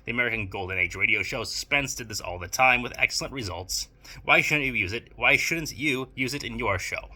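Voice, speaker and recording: A man's voice: medium pitch, bright, forceful tone. A man presenting a scripted instructional video essay, speaking in a formal, bookish and emphatic way. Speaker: video presenter giving writing advice.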